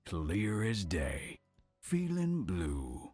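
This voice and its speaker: An actor playing a cocky gambling gunslinger and card cheat in a video game, speaking in a smooth, sly, unhurried drawl. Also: male voice, low-pitched, huge pitch swings, a little mellow, no echo, soft background music, exaggerated voice. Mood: sly, cool. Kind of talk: video game character voice lines.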